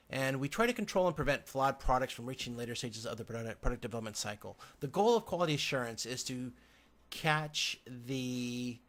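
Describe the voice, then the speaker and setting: Man giving a halting slide lecture. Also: medium pitch, fairly bright tone.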